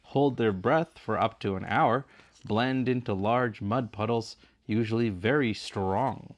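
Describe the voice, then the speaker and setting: A middle-aged man reads a children's story aloud with a medium-pitched voice. He takes the part of a patient father who explains things as he goes. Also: unhurried. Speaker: father reading aloud with his son. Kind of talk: bedtime story read-aloud.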